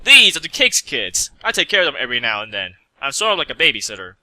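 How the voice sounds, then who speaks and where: A man voicing a bubbly, excitable pink female pony in a fan story reading. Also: medium pitch, quick pace, no echo. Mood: gleeful.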